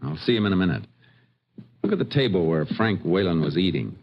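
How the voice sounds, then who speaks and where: Texas Ranger, deep voice, methodical, unhurried temper, muffled vintage radio drama. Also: male voice, big pitch swings, a little hiss or hum. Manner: laconic, methodical. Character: calm, observant Texas Ranger lawman. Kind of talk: vintage radio detective western acting.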